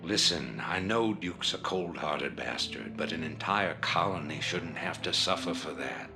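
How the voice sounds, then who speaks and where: An actor voicing a commanding, calculating middle-aged rebel leader in a game cutscene, measured and authoritative. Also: male voice, deep voice, vocal fry. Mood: firm, persuasive. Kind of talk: dramatic video game cutscene acting. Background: dramatic background music.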